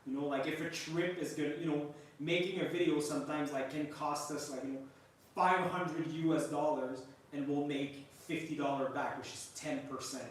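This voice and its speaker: A man's voice: on the high side, fairly even intonation, moderate pace, far from the mic. A young man with a French Canadian accent, a native French speaker from Montreal, chatting casually on a travel livestream with many fillers. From Montreal, Quebec, Canada. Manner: rambling, many "like" fillers and restarts. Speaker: travel vlogger hosting a livestream. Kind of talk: casual live chat reading viewer questions. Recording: echoey room, off-mic, faint noise.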